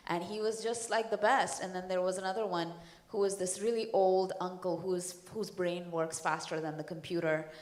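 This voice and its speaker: A woman from India whose first language is Hindi talks on a panel. Her voice is very low and reflective, with hesitations and small laughs. The room around her is echoey. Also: fairly even intonation, moderate pace, a little mellow. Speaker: panelist, writer and actor. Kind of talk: casual panel talk. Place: conference hall.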